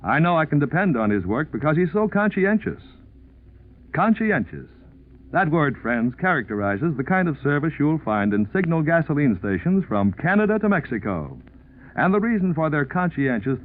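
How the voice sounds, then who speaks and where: An American man announcing in scripted 1940s radio style, with a polished, emphatic salesman's delivery. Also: fairly high pitch. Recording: tinny 1940s radio recording, faint music.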